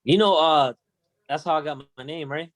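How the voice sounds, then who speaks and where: A man's voice: fairly high pitch, moderate pace, no room sound. Hesitant male guest, dry sound. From Tennessee, United States. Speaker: guest, music producer. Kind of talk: casual podcast chat.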